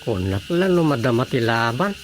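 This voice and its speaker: A man's voice: medium pitch, sing-song, normal speed, a little hiss or hum, natural voice. A man playing an easygoing, daydreaming husband in a Filipino radio drama comedy, muttering with a Filipino accent. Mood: casual, unbothered.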